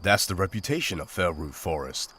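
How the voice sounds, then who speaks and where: A man playing a stoic, dry-witted monster hunter in a visual novel, speaking in a terse, deadpan manner. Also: deep voice, brisk, slight background noise, full character voice. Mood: calm, matter-of-fact.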